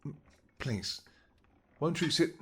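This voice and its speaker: A man playing a devoted vampire king in an intimate audio roleplay, speaking earnestly and gently in halting, formal, old-fashioned words. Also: fairly high pitch, animated, background noise. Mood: worried, pleading. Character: devoted vampire king, tender, anxious, apologetic husband.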